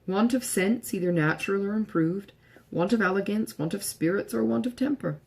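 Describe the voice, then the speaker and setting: A woman reading literary narration aloud in a measured, formal storytelling manner, with a husky, slightly creaky voice. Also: medium pitch, a little mellow. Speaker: audiobook reader.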